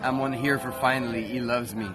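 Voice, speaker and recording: A man's voice: mid-range voice, steady tone, fairly quick, rough recording. A man with a light Quebec French accent, chatting casually on a live stream, rambling and correcting himself. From French Canada. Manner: rambling, many restarts and self-corrections. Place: busy city street outdoors. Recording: loud street crowd and traffic.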